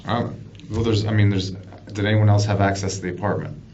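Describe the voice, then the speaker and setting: Male police detective, low monotone, blunt persistent questioning, muffled noisy interrogation recording. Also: fast, recorded from a distance. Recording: muffled lo-fi interview recording, clear background noise.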